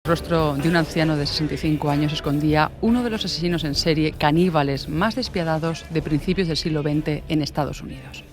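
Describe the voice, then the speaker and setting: Higher-pitched male documentary narrator. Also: a little fast, few pauses.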